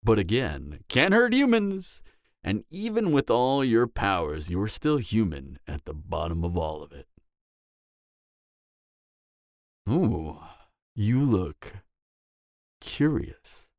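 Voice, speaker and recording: A man's voice: on the low side, sing-song, no room sound, clean studio sound, heavily acted. A man playing a cocky, flirtatious and dangerous vampire in an audio roleplay, teasing the listener with a smug, seductive delivery. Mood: playful, smug. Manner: teasing, smug, seductive drawl with dramatic pauses. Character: cocky, flirtatious, dangerous adult male vampire, former killer.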